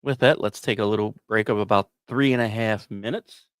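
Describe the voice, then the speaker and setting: A man hosting a podcast, reading scripted narration in a measured, dramatic way with emphatic pauses and casual asides. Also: fairly low pitch, clear, resonant tone, dry recording, clean studio sound. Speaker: podcast host.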